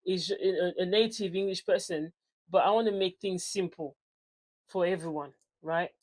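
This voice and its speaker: A woman's voice: lowish voice, moderate pace, darkish tone. A woman in her forties, raised in France, speaking English with a French accent mixed with African tones. She is a personal trainer talking casually to camera, chatty and unscripted, correcting herself as she goes. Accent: French with African influence. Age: 40s. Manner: chatty, loose and unscripted, with many fillers and self-corrections.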